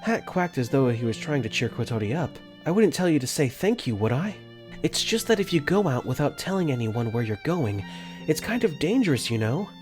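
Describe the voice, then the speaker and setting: A young man voicing an earnest, caring dorm caretaker for a fan dub, gently narrating his own thoughts in first person. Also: medium pitch, big pitch swings, brisk, lightly acted. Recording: faint music under the voice.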